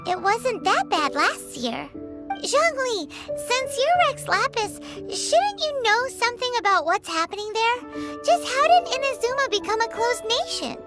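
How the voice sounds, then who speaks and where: A woman voicing a tiny, childlike floating fairy companion, chirpy, curious and blunt, with a squeaky voice in a game cutscene. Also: sing-song, unhurried, full character voice. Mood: curious, questioning. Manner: refers to self in third person, exclaiming. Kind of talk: scripted game cutscene dialogue. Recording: loud background music under dialogue.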